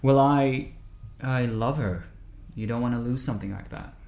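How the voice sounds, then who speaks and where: A man acting in an audio drama as a lonely, heartsick driver lost at night, speaking in a halting, questioning way. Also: mid-range voice, gravelly, darkish tone, natural voice. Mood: wistful, uneasy.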